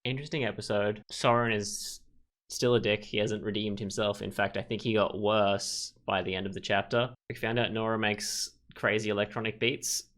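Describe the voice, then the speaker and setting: Blunt, lowish-voiced male gaming commentator. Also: steady tone, moderate pace, a bit bright. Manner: blunt, informal, musing. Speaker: gaming video host. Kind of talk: casual let's play commentary.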